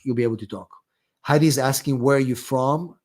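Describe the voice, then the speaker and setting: A man's voice: mid-range voice, fairly quick, a little mellow. A middle-aged man from Israel with an Israeli accent, an attorney hosting a live question-and-answer stream, talking casually with many fillers and restarts.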